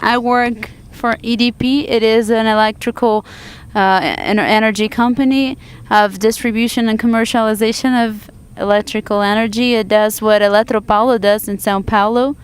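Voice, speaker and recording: A woman interpreting a panel discussion live into English from a conference booth, halting often to restart and correct her words. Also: fairly high pitch, steady tone, smooth.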